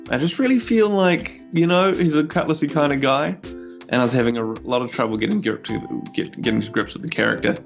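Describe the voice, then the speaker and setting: A man with a New Zealand accent improvising his part in a tabletop game, halting, wry and wordy. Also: fairly high pitch, gravelly. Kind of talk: casual improvised storytelling. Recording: music playing behind the voice. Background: background music.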